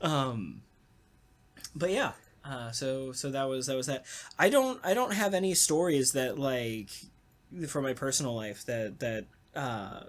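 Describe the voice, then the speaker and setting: A man, a voice actor, chatting casually and jokingly in a halting, rambling way. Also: medium pitch, big pitch swings. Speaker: voice actor on a podcast talkback. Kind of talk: casual chat.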